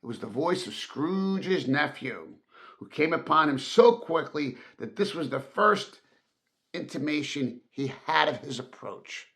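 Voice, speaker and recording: A man reading a classic story aloud as narrator, with dramatic, expressive and emphatic phrasing. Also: fairly high pitch, measured pace, gravelly. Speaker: audiobook narrator.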